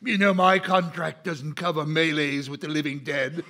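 A smug, punning coward of a sidekick, played by a man in a live radio play. The delivery is droll and hammy, in a high, bright voice. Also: fairly even intonation, moderate pace. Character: vain, wisecracking, cowardly radio show sidekick. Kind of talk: live radio drama acting.

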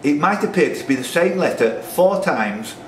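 A man's voice: on the high side, animated, normal speed, recorded from a distance. A man, acting as quizmaster, reading questions and bantering with the players. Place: pub, indoors among a group of players. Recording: roomy pub recording, off-mic, faint noise.

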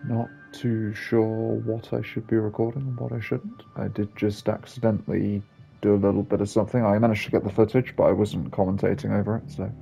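A man's voice: fairly low pitch, flat delivery, brisk, creaky, mellow, soft background music. A man giving casual let's-play commentary, laid-back and muttering asides to himself. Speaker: gaming video creator.

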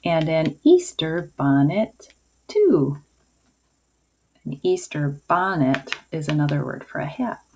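A woman teacher giving a video lesson to young children in simple, deliberate phrases. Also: deep voice, sing-song, a little mellow. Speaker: early-years school teacher. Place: indoors at a table, homemade video. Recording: dull lo-fi sound, clear noise.

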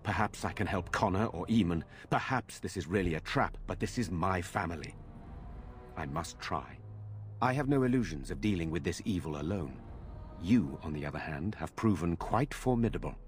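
A man's voice: deep voice, lively intonation, moderate pace. An actor playing an earnest, dutiful middle-aged nobleman in a video game, speaking in a grave, resolute way. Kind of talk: dramatic video game acting.

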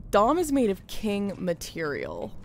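A woman's voice: medium pitch, huge pitch swings, unhurried, gravelly, a little hiss or hum. A young adult woman giving casual, playful, slangy reaction commentary as she watches a video game. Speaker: gamer reacting to game cutscenes. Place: indoor room, game sound behind. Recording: loud game sound behind the voice.

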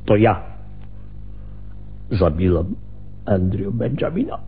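An actor playing an anxious, conscientious older gentleman in a radio drama, halting and repeating his words. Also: on the low side, big pitch swings, over music, exaggerated voice. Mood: distressed. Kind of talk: Polish radio drama acting. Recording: muffled phone-like radio recording.

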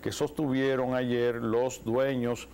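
Man, Dominican accent, 40s, TV studio, lapel mic, animated commentary. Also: medium pitch, takes it slowly, smooth. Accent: Dominican Spanish. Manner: animated, gestures with both hands. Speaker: talk show co-host. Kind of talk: political commentary.

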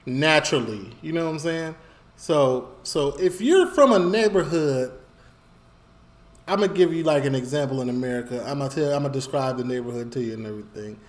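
A man's voice: mid-range voice, normal speed. A man, reacting to a police show in casual, rambling commentary that circles and repeats itself. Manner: animated, rambling, repeats phrases, gestures while talking. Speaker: reaction video host.

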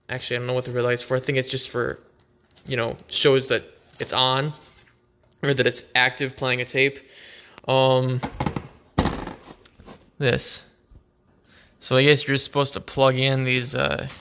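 A man's voice: mid-range voice, sharp tone, smooth. A man giving casual, unscripted vlog commentary in a laid-back, rambling way, recorded on a handheld phone in a garage. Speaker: vlogger.

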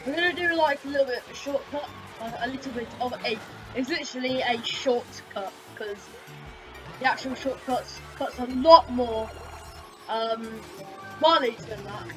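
A woman's voice: high-pitched, normal speed. A woman hosting a racing game playthrough with a slightly scratchy voice from a sore throat, chatting casually and exclaiming while she concentrates. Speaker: gaming channel host. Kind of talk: casual gameplay commentary. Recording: loud game music underneath. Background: game music and engine sounds.

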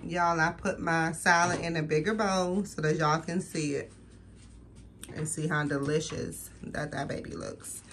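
A woman's voice: low-pitched, fairly even intonation, unhurried, fairly bright tone, slight background noise. A woman from the chatting casually to her viewers in a home kitchen, filming on her phone, folksy and talkative. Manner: chatty, folksy, talks straight to viewers as y'all. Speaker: home cooking vlogger. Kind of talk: casual cooking video chat to viewers.

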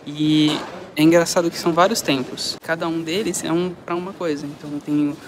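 A young man chatting casually and enthusiastically with slangy, relaxed speech. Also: on the high side, brisk, noisy background. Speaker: vlogger's partner. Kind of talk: casual chat. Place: outdoors on busy city streets.

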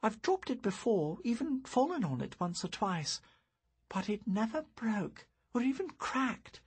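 A man voicing a soft-spoken young woman. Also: high voice, very animated, a little slow, slightly rough, pitched above the performer's own voice, exaggerated voice. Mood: sorrowful. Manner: soft and hushed. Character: sad young woman, gentle and thoughtful.

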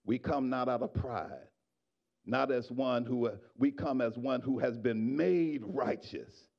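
A middle-aged man preaching a sermon in a church, on a handheld microphone, swinging from shouted exhortation to quiet asides to the congregation. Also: medium pitch, a little slow, vocal fry, muffled recording. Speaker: pastor. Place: church sanctuary.

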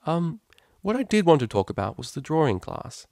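A man's voice: a little high, sing-song, moderate pace, gravelly, darkish tone, no room sound, clean studio sound, voice pushed up. A man voicing a proper, awkward young female pony cellist who speaks in a polite, formal, hesitant way.

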